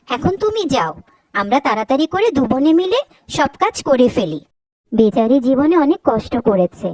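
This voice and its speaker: A woman's voice: high-pitched, darkish tone, dry recording, rough recording. A woman voicing a kind-hearted, dutiful young sister in a cartoon, speaking earnestly and frankly. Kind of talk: Bengali cartoon voice acting.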